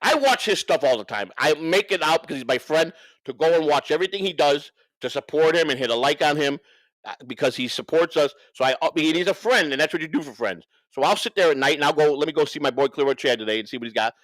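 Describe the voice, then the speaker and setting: A man's voice: fairly high pitch, lively intonation, quick pace, fairly bright tone, dry recording, rough recording. A man on a livestream, talking off the cuff in a profane, rambling way and repeating himself for emphasis. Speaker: livestream co-host. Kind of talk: unscripted livestream rant and banter.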